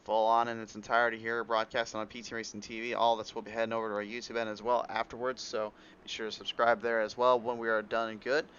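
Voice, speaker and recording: A man's voice: on the low side, flat delivery, fast talker, few pauses, fairly bright tone, muffled recording, a little hiss or hum. A man giving rambling live play-by-play commentary on a racing league broadcast, a little under the weather, his voice cutting out at times. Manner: rambling play-by-play with restarts and fillers. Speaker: race commentator.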